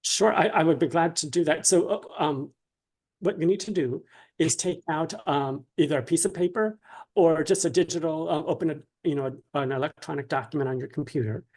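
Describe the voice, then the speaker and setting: A man giving informal, instructional answers as an interview guest, explaining things in a hesitant, measured way. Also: on the high side, darkish tone. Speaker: guest writer being interviewed.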